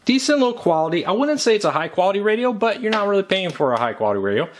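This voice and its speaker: A man's voice: high voice, very animated, racing, slight background noise. An American man giving a casual, unscripted product review in a conversational, matter-of-fact way. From United States.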